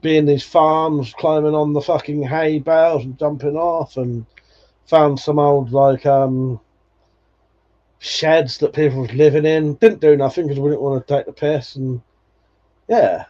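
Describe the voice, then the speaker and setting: A man's voice: a little high, fairly even intonation, measured pace, dark tone. Man in his forties, swearing casually. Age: 40s. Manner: nostalgic, swears freely, laughs often. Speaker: livestream host. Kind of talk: casual chat reading viewer comments. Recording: dull lo-fi stream audio.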